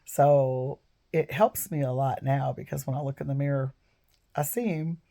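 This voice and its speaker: A woman in her fifties talks to camera from home, her voice froggy and hoarse from a cold. Her tone is dark and mellow, with a rough gravel underneath. She rambles earnestly and keeps drifting into side stories. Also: very deep voice, fairly even intonation, normal speed. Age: 50s. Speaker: vlogger. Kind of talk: casual storytelling to camera.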